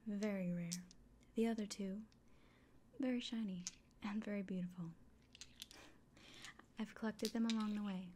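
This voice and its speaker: A woman playing a friendly fantasy innkeeper in a soft, breathy, often whispered ASMR roleplay, speaking gently and without hurry. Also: medium pitch, slight background noise, lightly acted. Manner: gentle, unhurried, caring. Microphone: close microphone.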